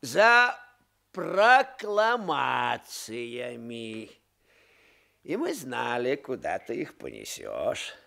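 A tsarist police officer in a Soviet radio play. He is smug and menacing, speaking very slowly in a creaky voice that swings from theatrical muttering to barked orders. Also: mid-range voice, huge pitch swings, sharp tone, heavily acted. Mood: gloating, accusing. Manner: theatrical, muttering to himself, sudden barks. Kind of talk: Soviet radio drama acting.

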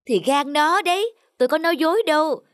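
Casting: sly little cartoon turtle, high voice, coolly teasing. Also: female voice, lively intonation, fairly quick, dry recording, studio quality. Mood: persuading. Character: small, cunning, cheeky turtle. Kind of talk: cartoon voice acting.

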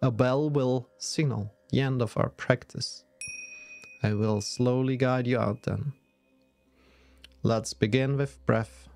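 A man leading a guided yoga nidra meditation in a soothing, measured way with long pauses. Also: medium pitch, fairly even intonation, vocal fry, darkish tone, soft background music.

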